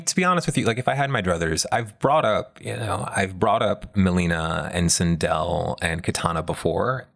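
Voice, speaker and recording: A man's voice: deep voice, creaky, a bit bright. A man co-hosting a casual discussion, giving candid, wry opinions in a conversational way. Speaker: podcast co-host.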